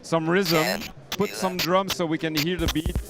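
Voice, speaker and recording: High-pitched man demonstrating synth gear. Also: fairly even intonation, a little slow, creaky, over loud music. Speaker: synth module maker demonstrating his product. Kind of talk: informal product demonstration. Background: electronic synth sounds and beats.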